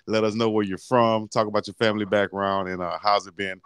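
A man's voice: on the low side, flat delivery, fairly quick, a bit bright, clean tone, no room sound, studio quality. A man hosting a casual sports interview show over a video call with a headset, laid-back and chatty with frequent fillers. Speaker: sports talk show host. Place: indoor room, video call.

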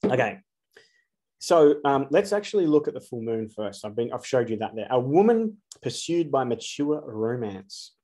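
A man in his forties talks straight into a close camera from a dark room. He is earnest and emphatic, pressing his points with rhetorical questions in a mid-pitched voice. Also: big pitch swings, moderate pace. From Australia. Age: 40s. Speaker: astrologer. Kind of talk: solo spiritual talk to camera.

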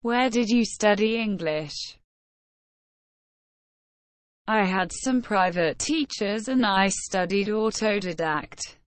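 Woman, slow careful phrase reading, dry close sound, lesson narration. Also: mid-range voice, animated, dry recording. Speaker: English phrase reader.

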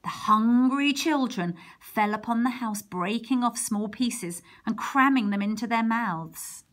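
A woman reading a picture book aloud to young children, expressive, with chatty exclamations and questions along the way. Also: on the high side, measured pace. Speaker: primary teacher reading a storybook to children. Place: indoors, picture book held over a wooden table.

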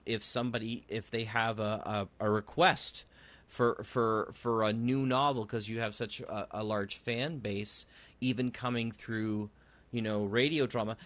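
Male podcast host, bright forward voice, dry close sound, feels his way through roundabout questions. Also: medium pitch, no echo. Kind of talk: conversational interview.

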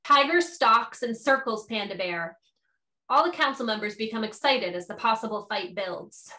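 A woman's voice: fairly high pitch, animated, normal speed, pushed and bright, rough recording. A woman narrator reading stage directions as scripted narration.

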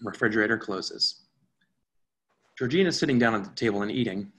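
A man's voice: lowish voice, expressive, brisk, a bit bright, slight background noise. A man reading stage directions as scripted narration for a play reading on a video call. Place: indoors at home, on a video call.

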